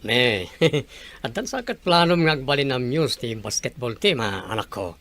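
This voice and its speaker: A man acts a supportive father in an audio drama. His mid-pitched voice is lively and varied, with an encouraging manner. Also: moderate pace. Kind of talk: Ilocano audio drama acting.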